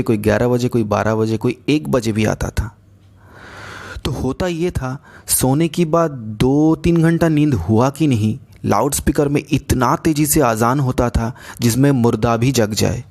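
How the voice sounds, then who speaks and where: A man presenting news commentary and reading out posts in a deliberate way, halting in English. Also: medium pitch, a little mellow. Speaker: host of a news commentary channel.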